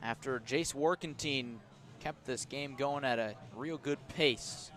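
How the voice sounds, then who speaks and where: A man doing live baseball radio play-by-play, with a measured delivery that lifts on action calls and descriptive asides between pitches. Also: fairly bright tone. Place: outdoor ballpark broadcast position above the stands.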